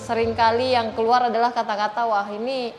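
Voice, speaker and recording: A woman's voice: fairly high pitch, level tone, a little fast, a little hiss or hum. A woman hosting a podcast interview in a conversational style, phrasing questions hesitantly and often seeking agreement. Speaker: podcast host interviewing a guest.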